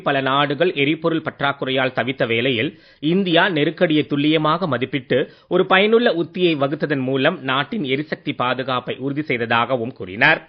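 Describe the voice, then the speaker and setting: A man reads a formal scripted radio news bulletin in a measured manner. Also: a little high. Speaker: news reader. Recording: dull lo-fi radio sound.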